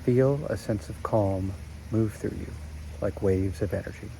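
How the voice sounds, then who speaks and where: A man narrating a guided meditation in a slow, soothing way with long pauses. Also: on the low side, gravelly, warm tone, a little hiss or hum, low-quality recording.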